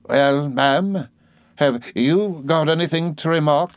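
A man's voice: on the high side, slow pace, no room sound, clean studio sound, voice pushed up. A man voicing a fierce, scolding old aunt. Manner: indignant and scolding. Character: fierce, blunt elderly aunt, woman.

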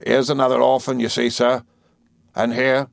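A man's voice: mid-range voice, little pitch movement, normal speed, creaky, no echo, clean studio sound, voice pushed up, natural voice. Man acting a hearty rustic old fisherman. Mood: fond. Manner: exclamatory, admiring, folksy. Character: hearty, fond, rustic older English fisherman.

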